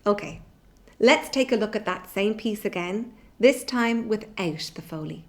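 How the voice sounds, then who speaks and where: A middle-aged woman presenting a children's workshop in a clear, deliberate, expressive way. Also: mid-range voice. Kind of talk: scripted lesson presentation for children. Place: small indoor room. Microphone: microphone on the desk close by.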